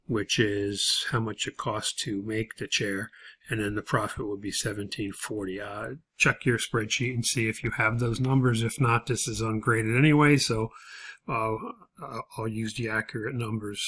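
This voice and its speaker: A man instructing through an unscripted spreadsheet walkthrough, explaining things in a halting, matter-of-fact way. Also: lowish voice, fairly even intonation, a bit bright, slightly rough.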